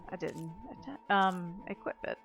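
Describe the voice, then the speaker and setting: A woman's voice: lowish voice, fairly even intonation, normal speed, vocal fry, fairly bright tone. A woman giving casual video game walkthrough commentary, thinking aloud in a rambling way. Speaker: gaming video host. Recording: game music behind the voice. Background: video game music.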